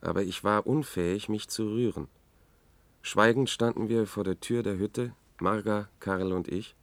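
Tired sober reporter in a radio play, lowish voice, super dry, grave measured telling. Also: male voice, no room sound. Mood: grave, regretful. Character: weary, sober male journalist recounting a tragedy. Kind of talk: radio drama first-person narration.

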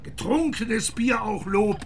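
Pompous high-pitched Kaiser. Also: male voice, slow pace, gravelly, a little hiss or hum, full character voice. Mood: rapturous, declaiming. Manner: declamatory, pompous. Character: pompous, enthusiastic German Kaiser declaiming verse and opinions. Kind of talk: theatrical radio drama declamation.

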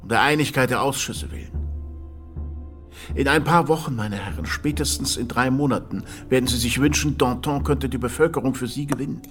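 A man's voice: mid-range voice, a little fast, fairly bright tone, soft background music, close to an everyday voice. A man playing a formal, sardonic committee member giving grim warnings in a radio drama. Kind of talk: German radio drama acting.

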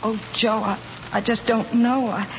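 An actress playing a sly pretend wife, sweetly martyred and stubborn, in 1940s radio comedy style. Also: female voice, fairly high pitch, level tone, a little slow. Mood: hushed, wistful. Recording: muffled, faintly noisy 1940s radio recording.

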